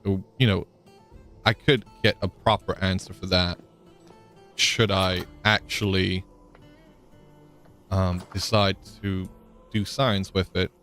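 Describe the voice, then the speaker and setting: A man's voice: low voice, little pitch movement, slow, pauses often, a bit bright, faint music behind. A man live-streaming a game, chatting casually, thinking aloud with frequent ums and answering viewers in chat.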